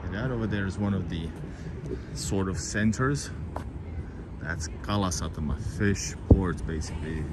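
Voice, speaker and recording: A man whose first language is Russian rambles through a live tour on a city street, his fairly low voice full of hesitations and asides to the viewers. Loud traffic runs under everything he says. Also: moderate pace. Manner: rambling and hesitant, many fillers, keeps addressing viewers. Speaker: host giving a live walking tour. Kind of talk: live-streamed walking tour chat.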